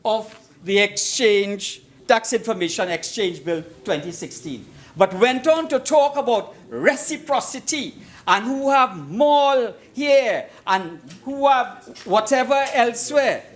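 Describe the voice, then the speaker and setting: A man's voice: very high-pitched, animated, slow pace. A Trinidadian man giving a formal parliamentary debate speech as an opposition member, emphatic and oratorical, repeating phrases for effect. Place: parliament chamber.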